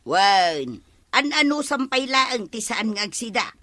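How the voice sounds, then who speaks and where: An actress playing an excitable, nagging village woman in a Filipino radio comedy drama, with an Ilocano accent. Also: on the high side, unhurried, no echo, studio quality, exaggerated voice. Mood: nagging, teasing. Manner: animated, exclaiming, nagging.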